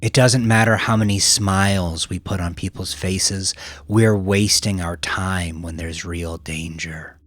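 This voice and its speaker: A man's voice: deep voice, fairly even intonation, a little slow, a bit bright, dry recording. A man voicing a hard-nosed, fed-up female police detective in a blunt, profane way for a crime audiobook. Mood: frustrated, heated.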